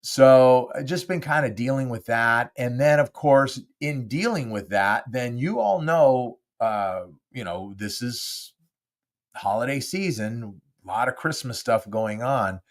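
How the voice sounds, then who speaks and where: A man's voice: medium pitch, expressive, measured pace. A man hosting his own podcast, chatting casually and rambling with lots of fillers while nasal and congested from a cold. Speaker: podcast host. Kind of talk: casual solo monologue. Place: home studio.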